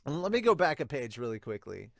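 A man's voice: medium pitch, big pitch swings, brisk, gravelly, no room sound. Casual man in his thirties, close dry sound. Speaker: host of a manga review channel. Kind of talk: casual reaction commentary with read-aloud dialogue. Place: small home room indoors.

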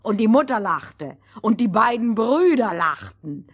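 A woman narrating a scripted fairy tale for children in a deliberate, schoolmistressly manner. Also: fairly high pitch, very animated, breathy edge, heavily acted. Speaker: first storyteller.

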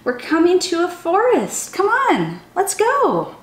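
A young woman, a children's storytime teacher, reading a picture book aloud in an animated, sing-song way, speaking straight to young listeners. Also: very high voice, slow pace. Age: young adult. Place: small room indoors. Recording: roomy indoor echo.

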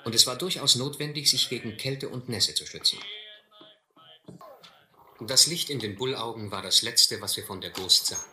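An actor playing an earnest, educated man in a sea adventure radio play, with a measured, literary delivery. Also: mid-range voice, sharp tone. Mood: grave, reflective. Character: earnest, educated castaway man narrating his sea ordeal. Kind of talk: German radio play acting and narration. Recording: roomy, faintly noisy old radio play.

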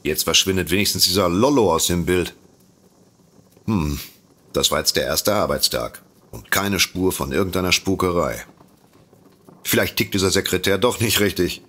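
An actor playing a dry, unimpressed, businesslike detective in a comedic audio drama, deadpan and sardonic. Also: male voice, low-pitched, normal speed, pauses often, breathy edge, heavily acted. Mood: musing, sardonic. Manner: deadpan, sardonic, matter-of-fact.

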